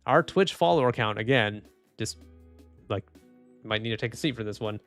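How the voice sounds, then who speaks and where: A man live streaming and reading chat, speaking softly and calmly with frequent restarts. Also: fairly low pitch, normal speed. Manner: soft-spoken, calm, trailing off with restarts and small laughs. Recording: dry close mic, faint music underneath.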